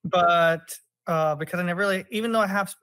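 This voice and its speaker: A man's voice: sits high, quick pace, no echo. Easygoing American man, dry close sound. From United States. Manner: relaxed storytelling with many fillers. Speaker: podcast guest. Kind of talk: casual conversation.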